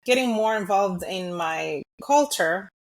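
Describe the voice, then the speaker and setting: A woman's voice: mid-range voice, lively intonation, a little slow, low-quality recording. A young woman from New York speaks as an interview guest in a mid-range voice. She talks in an easygoing way and laughs often. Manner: easygoing, laughs often, mixes in Spanish words. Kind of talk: casual interview chat.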